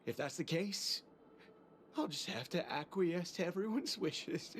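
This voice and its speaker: A man's voice: high voice, animated, measured pace, slight background noise. A man playing an exasperated guard in a video game, pleading in a theatrical, mock-humble way. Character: exasperated, pleading male guard with a dramatic streak.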